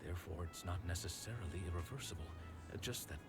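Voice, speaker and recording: Actor as deep-voiced, formal male aide. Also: close to an everyday voice. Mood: composed, explanatory. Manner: formal, measured, polite. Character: formal, composed male aide to a mayor, courteous. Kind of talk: scripted video game dialogue. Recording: music playing behind the voice. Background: game music.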